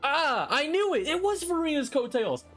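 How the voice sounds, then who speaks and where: A man's voice: very high voice, very animated, moderate pace, fairly bright tone, soft background music. A man streaming a game with casual, playful commentary, muttering and exclaiming as he reacts. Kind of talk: casual live playthrough commentary.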